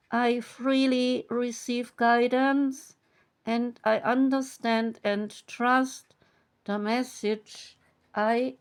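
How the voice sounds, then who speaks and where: A woman reading oracle card meanings aloud in a slow, measured, soothing way. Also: fairly high pitch, clear, resonant tone. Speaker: tarot and oracle card reader.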